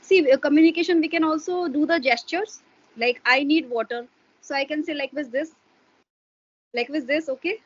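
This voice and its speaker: A woman's voice: sits high, moderate pace, dull audio. A woman, a Hindi speaker, explaining her views in an interview with a hesitant manner and many fillers. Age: adult parent. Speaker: interview guest. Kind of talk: casual explanatory interview talk.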